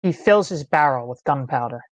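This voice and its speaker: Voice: mid-range voice, lively intonation, normal speed, dry recording. Dry-sounding narrator reading clipped stage directions. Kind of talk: scripted screenplay narration. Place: online meeting, close dry mic.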